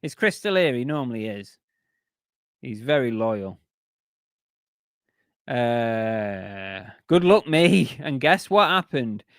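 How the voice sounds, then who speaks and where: A man hosting a relaxed live stream, chatting loosely with lots of ums and restarts. His voice sits at an ordinary middle pitch and is wearing thin, nearly gone. The sound is dry and close, with no room echo. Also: slow, long pauses. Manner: chatty, rambling, with many fillers and restarts. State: losing his voice. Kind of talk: casual live stream chat.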